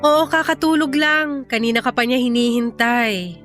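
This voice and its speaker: A woman's voice: fairly high pitch, normal speed, over loud music, natural voice. A woman acting in a radio drama as a hurt young mother, pleading emotionally and reproachfully with her partner. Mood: weary reproach.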